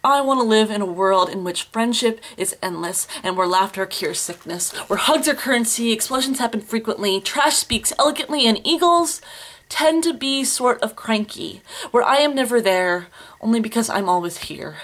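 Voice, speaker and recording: Higher-voiced young woman performing slam poetry. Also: expressive, normal speed, a bit bright. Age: 20s. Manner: earnest, emphatic, theatrical delivery. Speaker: slam poet.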